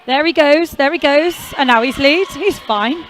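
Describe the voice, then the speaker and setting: A woman's voice: sits high, moderate pace, a bit bright, slight background noise. A woman commentating roller derby live, chatty and jokey, with rambling asides. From half Welsh, never lived in Wales. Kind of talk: casual live sports commentary.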